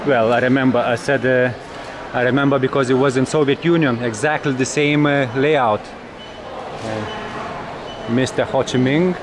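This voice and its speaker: A middle-aged man from Europe narrating a travel vlog as he walks busy city streets, halting and pausing often on filler sounds. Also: medium pitch, fairly even intonation. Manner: hesitant, halting, many filler pauses. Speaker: travel vlogger. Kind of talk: casual walking narration. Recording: outdoor street noise.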